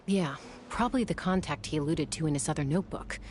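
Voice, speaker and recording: An actress playing a determined, composed young female adventurer in a video game, brisk and decisive. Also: sits low, background noise, natural voice. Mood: focused.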